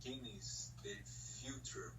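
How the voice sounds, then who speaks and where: Halting Brazilian man in an echoey room. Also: far from the mic, background noise. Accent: Brazilian Portuguese. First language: Portuguese. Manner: halting, searches for words. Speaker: English student. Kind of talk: online conversation lesson.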